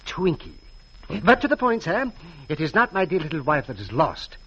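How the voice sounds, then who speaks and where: An actor playing a pompous, wealthy and eccentric yacht skipper with formal, genteel phrasing in a 1940s radio drama. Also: male voice, a little high, wildly swooping pitch, moderate pace, fairly bright tone, husky, heavily acted. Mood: genial, fussy. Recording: muffled old radio broadcast.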